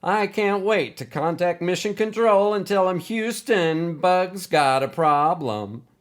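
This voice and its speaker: Man acting a loud, crowing, playful buddy. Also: high-pitched, animated, slow, pitched above the performer's own voice. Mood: teasing glee. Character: exuberant, teasing man in his thirties, playful best friend.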